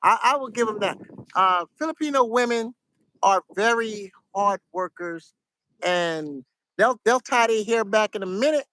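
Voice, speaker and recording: Soft-spoken New York man, dry sound. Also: high voice, a little slow, dry recording, low-quality recording. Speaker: vlogger. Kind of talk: casual advice to viewers.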